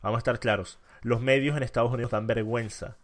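A man's voice: medium pitch, steady tone, quick pace. Assertive Venezuelan man in a debate. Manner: assertive, emphatic. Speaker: debate host. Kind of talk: casual debate.

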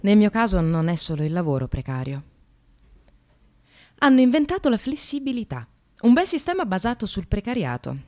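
A woman's voice: medium pitch, very animated, normal speed, darkish tone, lightly acted. A woman playing a disillusioned receptionist and mother in a radio drama monologue, sardonic, emphatic and confessional. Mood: bitterly ironic. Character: precarious receptionist, disillusioned separated mother, bitterly ironic. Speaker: actress narrating a monologue.

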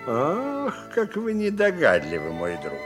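An actor playing an emotional, courteous older gentleman in a sentimental 1960s Soviet radio play. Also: a little high, huge pitch swings, measured pace. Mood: tender, conciliatory. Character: emotional older gentleman amateur astronomer, an uncle. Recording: old radio recording with music.

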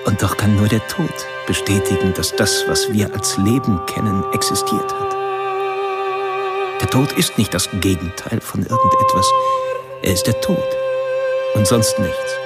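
An actor playing a cerebral, cold-blooded killer confiding detached, measured philosophical thoughts in a radio play. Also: male voice, on the low side, lightly acted. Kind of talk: first-person monologue in a radio play. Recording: music behind the voice.

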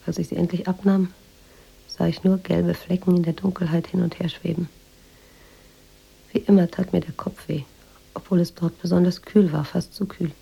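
A weary, introspective woman writer narrates her story in a radio play. Her voice is low and dark, with heavy fry. She speaks in a reflective, literary way. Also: flat delivery, normal speed, long pauses, lo-fi sound, full character voice. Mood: pensive, uneasy. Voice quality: husky, creaky. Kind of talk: scripted radio drama narration.

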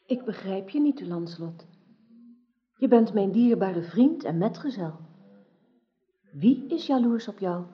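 A woman's voice: medium pitch, lively intonation, measured pace, long pauses, lightly acted. An actress playing a hurt, pleading, lovelorn queen in a radio drama, speaking with open emotion. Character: young queen, wounded, pleading, possessive in love. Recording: dull old radio drama recording.

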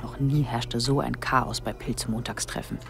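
A woman's voice: extremely low pitch, fairly even intonation, moderate pace, creaky, over music. An actress playing an observant, vivid-minded young woman, in dialogue and dramatic narration for a radio drama. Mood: thoughtful. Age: young adult.

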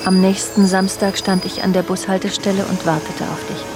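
A woman playing a reflective narrator who recalls her youth in a radio drama, measured and restrained. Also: medium pitch, little pitch movement, over music, natural voice. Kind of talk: scripted first-person radio drama narration.

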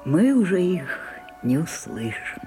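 An older woman playing a proud, weary old French stage actress in a radio drama, declaiming broodingly and dropping into breathy whispers. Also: on the low side, big pitch swings, slow, over music. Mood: bleak, bitter. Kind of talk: theatrical radio drama acting.

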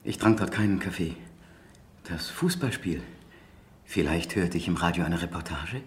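Actor as haunted confessing suspect, lowish voice. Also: male voice, moderate pace, close to an everyday voice. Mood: dazed, wistful. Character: haunted, confessing male suspect, dreamy and compulsive. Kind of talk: German radio crime drama acting.

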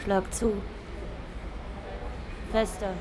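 A woman's voice: medium pitch, level tone, very slow, dark tone. A woman playing a tough, defiant teenage girl in a radio drama, terse and insistent. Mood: desperate, demanding. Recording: noisy street ambience behind.

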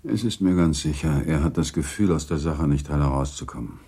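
A man playing a grave, courteous gentleman in a radio drama, speaking in a measured, formal and resigned manner. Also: a bass voice, husky, heavily acted. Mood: sober, moved. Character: grave, courteous gentleman, confidant and second in a duel. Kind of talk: German radio drama acting.

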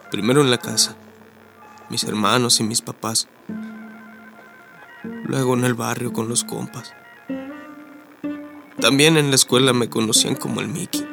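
An actor in a radio drama playing a young, anxious working-class Mexican migrant with a slangy, earnest way of speaking and a Mexican accent. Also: male voice, mid-range voice, creaky.

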